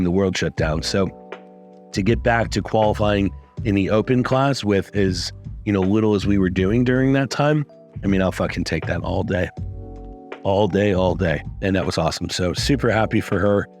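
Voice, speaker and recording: A man coaching lifters, talking through results in a casual, upbeat recap with a raspy voice and plenty of swearing. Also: low voice, normal speed, a little mellow, soft background music. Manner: upbeat, swears freely, many fillers. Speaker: strength coach.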